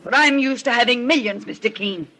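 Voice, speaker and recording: Sharp, imperious villainess of old radio. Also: female voice, fairly high pitch, brisk. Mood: haughty. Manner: imperious, theatrical. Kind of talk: 1940s radio crime drama acting. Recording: old 1940s radio broadcast.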